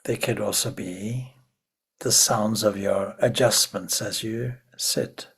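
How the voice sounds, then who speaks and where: A man leading a guided meditation as a spiritual teacher, in a hushed, measured manner with long pauses and a breathy, husky voice. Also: on the low side, steady tone.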